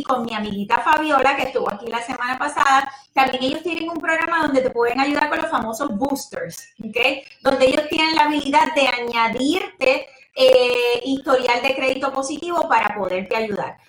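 A woman with a Puerto Rican accent hosts a casual advice talk in a high-pitched voice. She is chatty and familiar in her phrasing. The sound is echoey, picked up from some distance from the microphone. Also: a bit bright. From Puerto Rico. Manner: chatty, familiar, uses affectionate diminutives and some English words. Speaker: host and home loan advisor. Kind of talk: casual advice talk to a live audience online. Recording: roomy echo, distant microphone.

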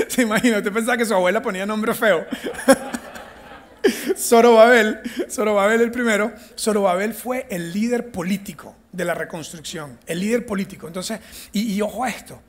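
A Venezuelan man teaching a lively Bible class, joking and acting out the characters as he tells the story. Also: high-pitched, normal speed. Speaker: church teacher.

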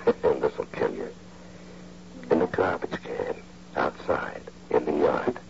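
An actor playing a scheming, nervous husband and thief in an old radio drama, smooth-talking and stammering when cornered. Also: male voice, rumbling low, lively intonation, slow pace, exaggerated voice. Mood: sly, conspiratorial. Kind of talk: old-time radio drama acting, narrating and dialogue. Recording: muffled, very noisy old radio recording.

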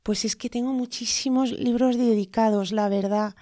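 A woman's voice: fairly high pitch, steady tone, moderate pace, warm tone, dry recording, studio quality. Hesitant, thoughtful Spanish woman guest. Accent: Spain Spanish. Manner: hesitant, many fillers and tag questions. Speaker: interview guest, lawyer. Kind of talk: reflective conversational interview.

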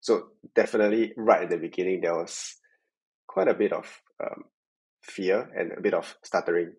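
A man's voice: sits low, moderate pace, darkish tone, slightly rough, low-quality recording. A young Singaporean man speaking reflectively as a guest in a podcast interview. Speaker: podcast guest, tech sales worker.

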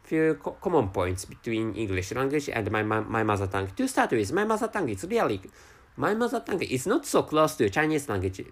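A man with a Japanese accent, a native Japanese speaker, talking alone on his podcast in slow, halting English full of restarts and repeated words. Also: medium pitch, sing-song. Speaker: podcast host. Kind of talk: casual solo podcast monologue.

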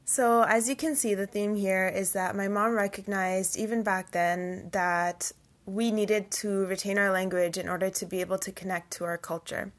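A woman's voice: mid-range voice, fairly even intonation, normal speed. A young woman from Canada telling a personal story in a chatty way, full of asides. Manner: chatty, many fillers and asides. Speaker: vlogger.

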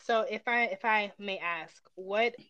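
A woman's voice: medium pitch, measured pace, pushed and bright, dry recording, highs cut off. A woman in a casual personal conversation, speaking candidly and reflectively as she feels her way through her phrasing.

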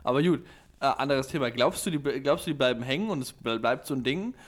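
A man's voice: mid-range voice, fast. Teasing young man in casual chat. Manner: teasing, hesitant with restarts.

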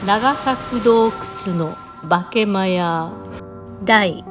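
A woman reading a scripted radio programme introduction and credits in a measured, formal way. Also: mid-range voice, loud music behind the voice. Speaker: programme announcer.

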